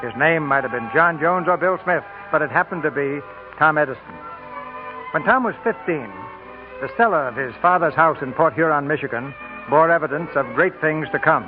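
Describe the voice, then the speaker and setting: An American man hosting and narrating a scripted 1940s radio theater show in a formal, measured way. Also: on the high side, phone-quality sound. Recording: thin old radio broadcast, music behind.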